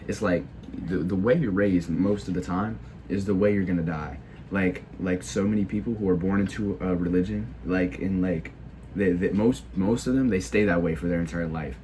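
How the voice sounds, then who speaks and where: A man's voice: deep voice, darkish tone, slight background noise. A teenage boy who is sick, giving a casual opinion monologue in a hesitant way, full of filler words and restarts. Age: teens. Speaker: video creator.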